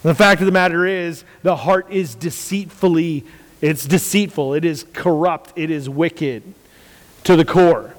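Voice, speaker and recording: A man with a big, carrying voice sits a touch higher than most men. He speaks as a pastor in casual, earnest faith talk, leaning on repetition. The sound rings out with long room reverb. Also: measured pace. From United States. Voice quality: loud, carrying voice. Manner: earnest, repeats phrases for emphasis.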